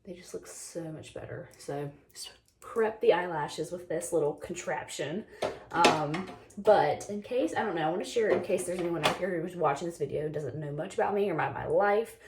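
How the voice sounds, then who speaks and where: A woman's voice: on the low side, normal speed, noisy background. Chatty young woman losing her voice. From North Carolina, US. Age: 20s. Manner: chatty, lots of "like" and "um". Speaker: beauty vlogger. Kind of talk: casual get-ready-with-me chat.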